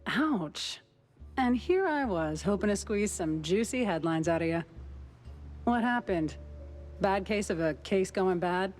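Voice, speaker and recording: A woman's voice: mid-range voice, lively intonation, measured pace, darkish tone, lightly acted. Sardonic female noir reporter, acted by a woman. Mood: teasing. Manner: sardonic, teasing, probing.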